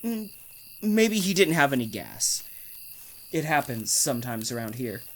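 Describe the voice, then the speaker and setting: A man's voice: medium pitch, big pitch swings, unhurried. A man playing a domineering, secretive bridegroom in old-fashioned radio melodrama, with a terse, insistent delivery. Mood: casual, clipped. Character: domineering, secretive bridegroom from Maine, curtly menacing.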